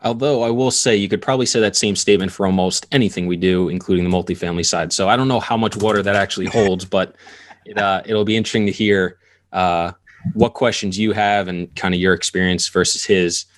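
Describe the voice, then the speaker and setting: A man's voice: low-pitched, fairly even intonation, brisk. A man hosting a podcast, upbeat and conversational as he opens the show and introduces the guest. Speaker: podcast host.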